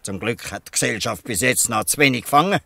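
An actor with a Swiss German accent playing a weary, good-natured old fisherman who explains things haltingly in a dialect audio drama. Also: mid-range voice, expressive, vocal fry, pushed and bright, no echo, heavily acted. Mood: explaining, resigned.

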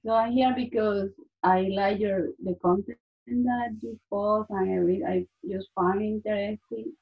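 A native Spanish-speaking woman with a Venezuelan accent, haltingly introducing herself as a participant in an online workshop. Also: mid-range voice, fairly even intonation, gravelly, breathy edge. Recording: muffled phone-like video call audio.

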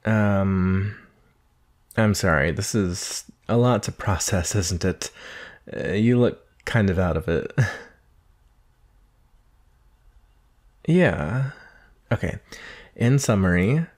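A man's voice: on the low side, animated, slow pace, a little mellow. A man playing a shy, earnest nineteen-year-old naga monster boy in a conversational ASMR roleplay, hesitant, nervous and apologetic. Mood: explaining, apologetic.

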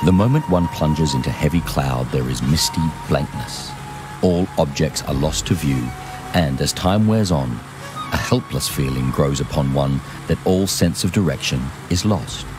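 A man reads a historical journal as scripted narration, in a measured, formal storytelling manner. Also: rumbling low, over music. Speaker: narrator reading a memoir.

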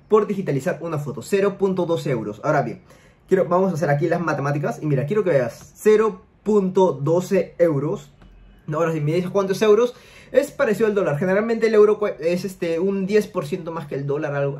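A young man hosting a screen-share tutorial from a room at home, explaining quickly and tagging his sentences with questions to the viewer. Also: high voice, darkish tone. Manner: rapid explainer, tag questions. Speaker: money-making YouTuber. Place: home room, seated in gaming chair.

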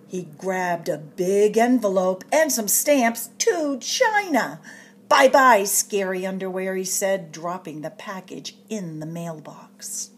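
A woman reading a picture book aloud to children in an expressive, dramatic storyteller's way, drawing out suspenseful phrases. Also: lowish voice, slow pace, few pauses, slight background noise. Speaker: storytime reader at a public library.

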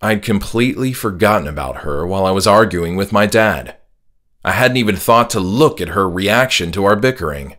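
A man's voice: low-pitched, lively intonation, normal speed, fairly bright tone. A man reading his own horror story as scripted first-person audiobook narration. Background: faint music.

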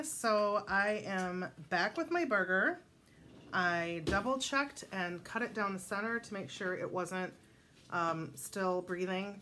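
Middle-aged wisconsin woman chatting thru dinner at home, lowish voice, little kitchen sizzle behind. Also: a bit bright, slight background noise. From Wisconsin, United States. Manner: chatty and homey, rambling with fillers. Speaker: home cooking vlogger. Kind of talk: casual cook-along chat. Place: home kitchen and dining table.

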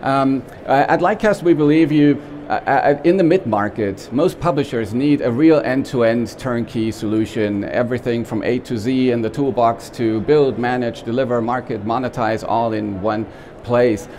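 A man's voice: medium pitch, moderate pace, slight background noise. Austrian-accented man presenting on stage. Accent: Austrian German. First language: German. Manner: lively, joking, with many fillers and restarts. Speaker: company CEO giving a conference talk. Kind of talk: trade-show presentation. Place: small expo stage with backdrop, indoors.

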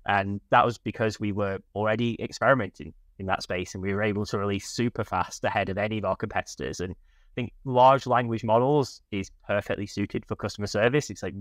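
A man's voice: on the low side, dry recording. A man in his thirties answering interview questions in an enthusiastic, explanatory, conversational way. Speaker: podcast guest, tech company product leader. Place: small furnished room at home. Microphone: video call, wearing headphones.

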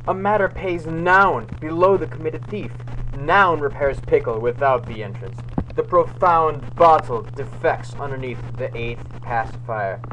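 A man's voice: fairly high pitch, a bit bright. A young man giving a mock-dramatic, theatrical reading of scripted text on a noisy webcam microphone in a room. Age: young adult. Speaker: amateur video maker reading aloud. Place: small room indoors. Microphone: webcam or laptop microphone, close.

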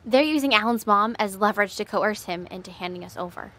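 A woman's voice: medium pitch, fairly quick, pushed and bright, natural voice. A woman playing a composed alien fugitive who leads her crew in an audio drama. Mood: composed, urgent.

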